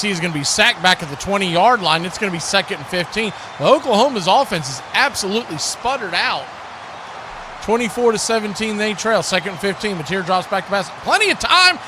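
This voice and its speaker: A high-pitched male sports announcer calls a football game live, play by play. His voice comes over a clearly noisy stream.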